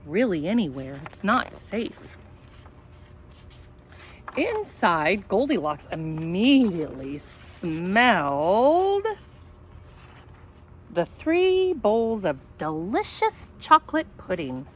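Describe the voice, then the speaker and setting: A woman reading a children's story aloud in a playful, expressive way, with asides addressed to the young listeners. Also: medium pitch, takes it slowly, pauses often, slight background noise. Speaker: storybook reader.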